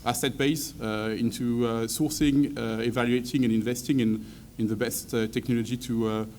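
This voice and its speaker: A man speaks on a conference panel in a halting way, dropping an uh between almost every phrase. His voice is mid-pitched, and a faint noise runs behind it. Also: steady tone, darkish tone. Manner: halting, heavy use of uh between words. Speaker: corporate venture head, panelist.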